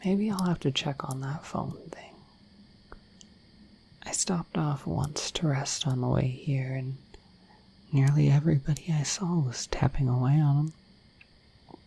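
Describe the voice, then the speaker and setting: A bedtime ASMR roleplay where a gentle, earnest dragon played by a woman whispers low and halting to a beloved human, with faint noise behind. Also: heavily acted, rough recording. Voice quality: soft, breathy whisper. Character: shy, dorky, affectionate female dragon, naive about humans.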